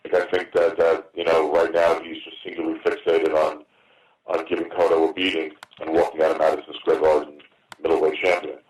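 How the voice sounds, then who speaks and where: A man in his fifties answering questions on a conference call as a boxing promoter, halting and often repeating words. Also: a bass voice, completely flat delivery. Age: 50s. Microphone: phone on a conference call. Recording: roomy, distant, noisy teleconference phone line.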